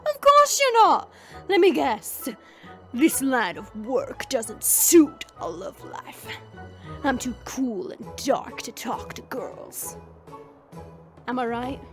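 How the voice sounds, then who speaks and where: A woman's voice: high voice, big pitch swings, takes it slowly, pauses often, a bit bright, soft background music, full character voice. A woman playing a smirking, sarcastic monster woman who chats and mocks in an audio drama. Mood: mocking. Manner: sarcastic, mocking, chatty. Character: smirking, teasing monster woman, short and muscular.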